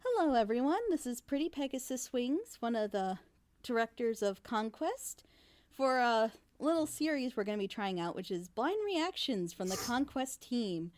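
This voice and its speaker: A woman's voice: a little high, expressive, normal speed, a little mellow. A woman hosting a casual group reaction with an informal, easygoing way of talking. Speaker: host, series director, voice actor.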